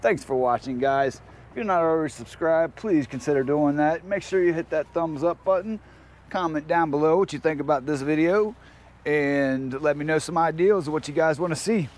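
A man's voice: fairly high pitch, normal speed. A man chatting casually and informally as a homestead vlogger, using folksy slang, recorded outdoors on a handheld camera. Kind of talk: casual vlog chat, talking to viewers and animals. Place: outdoors in a backyard chicken run.

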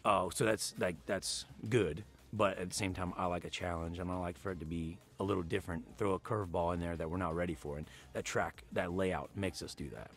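Low-voiced man, hesitant interview answer. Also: fairly even intonation, brisk, hardly any pauses, husky, soft background music. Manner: thoughtful, hesitant. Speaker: monster truck driver. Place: dark studio, indoors.

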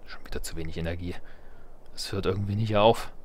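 A man's voice: low-pitched, steady tone, brisk, noisy background. A man giving casual let's play commentary, thinking aloud and muttering to himself as he goes. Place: indoors, voice-over on game capture.

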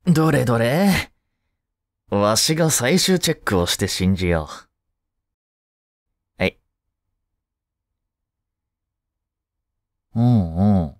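Voice drama role: laid-back, teasing, protective teenage boy , played by a young man; medium pitch, unhurried, casual delivery. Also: expressive, dry recording. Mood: playful, casual. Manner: casual, blunt, teasing banter with pauses. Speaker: voice actor. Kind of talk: first-person voice drama, casual chat to the listener.

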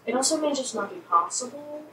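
A woman's voice: sits high, animated, fast talker. A woman chatting casually while playing a video game, rambling and frank with some mild swearing. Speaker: gamer recording a let's play. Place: indoor room at home beside a TV. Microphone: shared microphone set between two players. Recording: distant mic, echoey room, loud noise.

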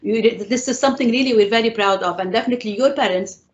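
A woman's voice: a little high, fast. A middle-aged woman hosting an interview, praising her guest and repeating herself as she searches for words. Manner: warm praise, lots of repeated words and restarts. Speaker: interviewer, host. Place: indoors, room with framed painting. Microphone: lapel mic. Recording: muffled video call audio.

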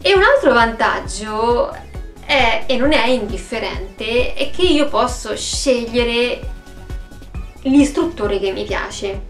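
A woman talks in a fairly high voice, chatty and expressive. She sounds like a vlogger addressing the camera casually from home. The room rings noticeably around her, and some music plays behind. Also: slow. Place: small room at home, indoors. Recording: roomy, echoey home recording.

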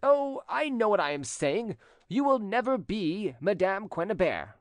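A male narrator plays a spurned, jealous cousin from a storybook. The voice is raised high and swings widely, insistent and theatrical, in a very dry recording. He sounds pointed and scheming. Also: big pitch swings, unhurried, no echo. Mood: insistent, bitter.

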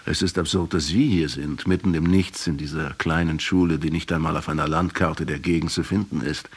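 A man playing a pensive middle-aged historian who narrates a radio drama in a husky, reflective, measured way. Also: very deep voice, fairly even intonation, exaggerated voice. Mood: quiet, earnest. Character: pensive 39-year-old male historian questioning a captive.

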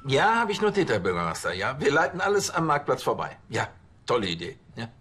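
An actor playing a fussy, eager-to-please police station chief, stammering and obsequious, in a TV comedy crime series. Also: male voice, medium pitch, wildly swooping pitch, fast, fairly bright tone, faint music behind. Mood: flustered, fawning.

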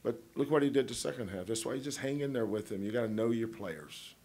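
A man's voice: mid-range voice, quick pace, darkish tone. A man, a head basketball coach, answering questions at a postgame press conference in a folksy, rambling way, full of homespun analogies and teasing.

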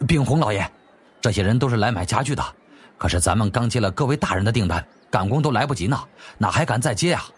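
A man's voice: fairly low pitch, animated, fast talker, slightly rough. A man voicing a harried household attendant in an audiobook, deferential and wearily apologetic. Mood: anxious.

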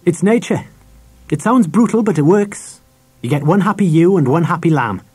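A man's voice: fairly high pitch, lively intonation, moderate pace, darkish tone, slightly rough, voice pushed up. A man voicing a talkative, opinionated middle-aged schoolmaster in an audiobook, with an emphatic teacherly manner. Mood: kindly enthusiastic.

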